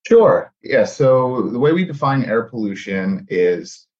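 A man's voice: mid-range voice, slow pace, dull audio. A man in his thirties, born in Mexico and raised in Texas, talking as a guest in a casual podcast interview with lots of "uh" fillers. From born in Mexico, raised in South Texas. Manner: frequent "uh" fillers, animated and friendly. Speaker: podcast guest, air pollution scientist.

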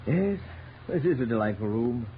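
A man's voice: mid-range voice, lively intonation, normal speed, natural voice. An actor playing an affable, deferential village sheriff who politely repeats his phrases in a 1930s radio drama. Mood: polite, contented. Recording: tinny, narrow, hissy 1930s radio recording.

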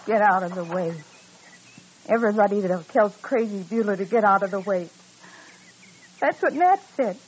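A woman's voice: mid-range voice, lively intonation, normal speed, pauses often, close to an everyday voice. Woman acting crazy, bitter frontier woman, muffled recording. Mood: bitter, wounded. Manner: rustic folksy speech, brooding, childlike repetition. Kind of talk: old-time radio western acting. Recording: dull, noisy old radio recording.

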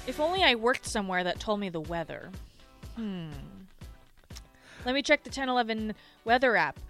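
A woman hosting a sports radio show in a studio, teasing her co-host in quick, playful banter. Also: fairly high pitch, very animated, fairly bright tone, soft background music. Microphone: studio microphone.

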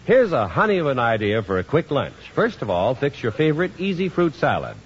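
A man's voice: mid-range voice, huge pitch swings, brisk. A man announcing in a scripted 1950s radio sponsor style. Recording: dull, faintly noisy radio, audience behind.

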